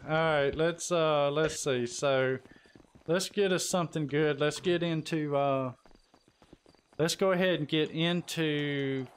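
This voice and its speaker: A man's voice: on the high side, fairly even intonation, slow. A man hosting a gaming live stream, chatting casually with his teammates. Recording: clear game gunfire noise behind voice. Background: game gunfire.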